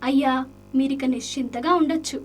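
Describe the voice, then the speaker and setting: A woman's voice: sits high, fairly even intonation, brisk, a little hiss or hum, lightly acted. A woman playing an earnest, respectful, insistent young woman in an Indian radio drama. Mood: earnest pleading. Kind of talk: Indian radio drama acting in classical play.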